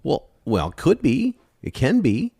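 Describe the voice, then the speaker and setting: A man co-hosting a podcast, making his case with drawn-out emphasis and rhetorical questions. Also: medium pitch, huge pitch swings, vocal fry, mellow, husky, no echo, studio quality. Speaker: podcast co-host. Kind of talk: casual debate.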